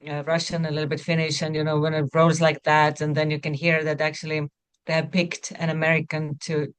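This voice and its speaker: A woman's voice: deep voice, clean tone. A woman from Estonia with an Estonian accent, a guest talking in a casual interview, full of "you know" and "like" fillers. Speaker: actor guest.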